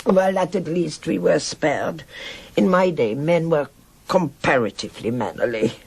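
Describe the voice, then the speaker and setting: A woman's voice: sits low, measured pace, creaky, a little mellow, slightly rough, exaggerated voice. An actress playing a worldly, nostalgic old Berlin woman in a radio drama, theatrical and frank as she reminisces. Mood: blunt, amused. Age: older. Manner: theatrical, rambling reminiscence with sighs and interjections.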